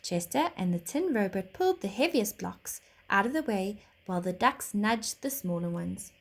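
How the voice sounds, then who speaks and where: A woman narrating a children's story expressively, like a teacher reading aloud, with dramatic, deliberate phrasing. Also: mid-range voice, animated.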